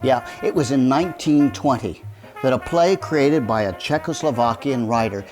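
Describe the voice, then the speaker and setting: A man introduces a radio drama as host in a light, conversational scripted style. His voice sits at a middle pitch, with clear music playing behind him. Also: moderate pace, a little mellow.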